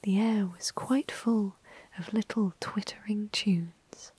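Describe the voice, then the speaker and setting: A woman telling a classic storybook tale in a soft, unhurried ASMR whisper. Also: medium pitch, steady tone, slightly rough, no room sound.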